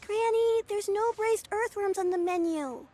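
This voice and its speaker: A woman's voice: very high-pitched, unhurried, slight background noise. A woman voicing a cheerful, polite young girl in a video game who looks after her grandmother. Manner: bright and upbeat, polite.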